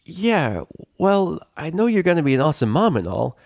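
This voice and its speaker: A man's voice: fairly high pitch, sing-song, normal speed, darkish tone, no room sound, clean studio sound, pitched above the performer's own voice. A man voicing a brash but caring young female pony with a casual, cocky, earnest way of talking. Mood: shy, earnest.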